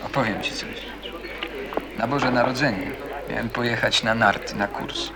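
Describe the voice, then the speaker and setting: A man's voice: mid-range voice, moderate pace, heavy background noise, lightly acted. A man playing a bitter, defensive teenage schoolboy in a radio play, defiant, sarcastic and colloquial. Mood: wry storytelling. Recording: busy chatter of people behind. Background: crowd chatter, as in a café.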